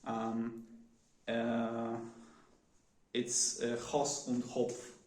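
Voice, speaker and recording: Slow, hesitant man with a Polish accent. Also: mid-range voice, completely flat delivery, a little mellow, reverberant. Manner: hesitant, many fillers and restarts. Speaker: vlogger. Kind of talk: unscripted one-take monologue. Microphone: phone.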